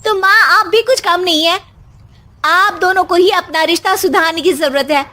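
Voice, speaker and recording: A woman's voice: extremely high pitch, normal speed, pushed and bright. A woman voicing an exasperated married daughter who acts as the family peacemaker in a cartoon story. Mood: urging.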